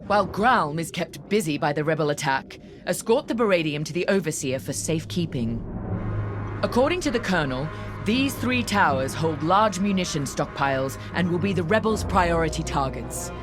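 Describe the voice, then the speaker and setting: A woman's voice: sits low, animated, normal speed, music in the background. An actress playing a proud, ruthless woman starship captain who speaks in a clipped, formal, commanding way in a video game. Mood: stern briefing. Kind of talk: scripted video game cutscene acting.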